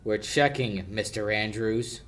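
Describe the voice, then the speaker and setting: A man's voice: lowish voice, unhurried, a bit bright. A young male amateur actor playing a cocky, wisecracking sleuth with a confident, needling manner in a 1940s radio drama style. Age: young adult. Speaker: amateur high school actor.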